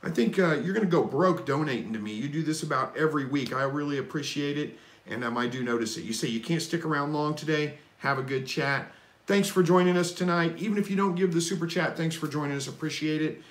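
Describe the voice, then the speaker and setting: A man with a somewhat high voice chats casually and folksily, wandering through his answers as he hosts a live stream. The sound is roomy and echoey. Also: fast. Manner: relaxed, folksy, rambling with fillers. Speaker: livestream host answering viewer questions.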